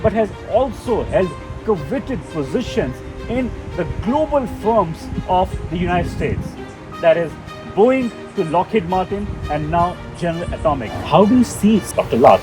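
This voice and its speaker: A man's voice: high-pitched, sing-song, normal speed, music in the background, poor audio. A man with an Indian accent hosting an interview, speaking formally with effusive, grandiose phrasing. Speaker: interviewer and show host. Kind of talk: on-camera interview with formal scripted introduction.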